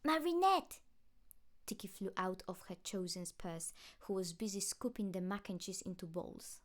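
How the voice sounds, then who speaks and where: A woman's voice: lowish voice, level tone, normal speed. A woman reading scripted fiction as an audiobook narrator.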